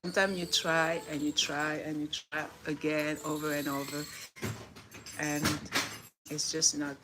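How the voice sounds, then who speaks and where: A woman's voice: low-pitched, little pitch movement, measured pace, noisy background. A middle-aged woman from Senegal with a French accent, hosting a livestream, reading reflections and chatting earnestly with viewers. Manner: earnest, repeats phrases for emphasis, laughs softly. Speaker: livestream host. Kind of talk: casual livestream talk, reading daily reflections.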